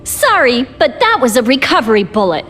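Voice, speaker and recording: A woman playing a resolute, protective young fighter in a game, speaking in an earnest, deliberate way. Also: sits high, very animated, a bit bright, soft background music, exaggerated voice. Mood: reassuring resolve. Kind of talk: dramatic game cutscene acting.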